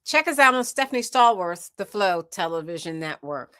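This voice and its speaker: American lady hosting a live panel show, firm, bright forward voice, very dry sound. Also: on the low side, lively intonation, normal speed, clear, resonant tone, dry recording. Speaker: talk show host.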